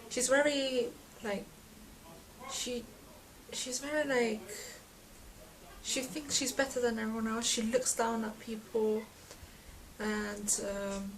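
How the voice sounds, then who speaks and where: A twenty-year-old woman casually introducing herself, halting and hesitant, with many "like"s. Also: fairly high pitch, steady tone, poor audio. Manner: hesitant, halting, filler-heavy. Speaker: radio drama actress.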